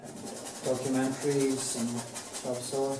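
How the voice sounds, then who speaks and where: A Norwegian man with a Norwegian accent, talking casually and haltingly while teaching oil painting, often restarting his sentences. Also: mid-range voice, monotone, background noise. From Norway. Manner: rambling, halting, many restarts. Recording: echoey room, mic at a distance.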